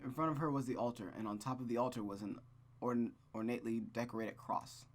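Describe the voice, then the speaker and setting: A gaming host reading a visual novel aloud with casual, rambling, expressive commentary. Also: mid-range voice, a little fast. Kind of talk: casual game commentary and read-aloud narration.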